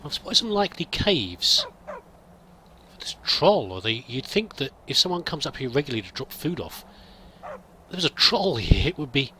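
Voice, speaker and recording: A man's voice: a little high, big pitch swings, normal speed, bright, forceful tone, slight background noise. A man roleplaying a pilgrim in a game, musing and thinking aloud in a hesitant, wandering way. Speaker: let's play roleplayer. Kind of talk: in-character game commentary.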